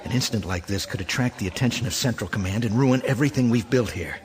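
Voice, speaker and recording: A man's voice: lowish voice, fast talker, lightly acted. Man acting cold officious colonel, crowd behind. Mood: scheming command. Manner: crisp, authoritative. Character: cold, corrupt male military colonel, officious and scheming. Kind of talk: audio drama acting.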